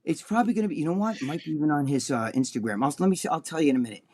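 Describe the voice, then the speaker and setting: Older New Jersey man, podcast co-host, foul-mouthed animated storyteller with a slightly higher voice. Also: very fast. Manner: animated, profane, acts out quotes in a high voice. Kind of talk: casual storytelling chat.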